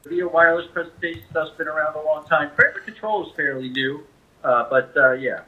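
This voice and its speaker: A man speaking as a company representative, giving a conversational product pitch as an interview guest over a phone line. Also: brisk. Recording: tinny narrow-band phone line.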